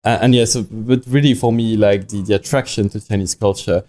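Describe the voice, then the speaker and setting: A young man with a French accent and a low-pitched, very mellow voice. He chats informally as a podcast guest, recounting things in an easy conversational style and often quoting himself as he goes. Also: steady tone, brisk. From France. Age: young adult. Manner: informal, frequent self-quoting in anecdotes. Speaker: podcast guest, watch company founder. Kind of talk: casual conversational storytelling.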